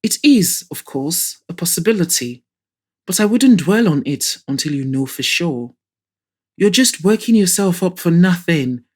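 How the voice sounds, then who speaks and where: A woman reading the part of a calm, supportive, practical man comforting a friend in an audio drama. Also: fairly low pitch, a little slow, slightly rough. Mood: reassuring, firm.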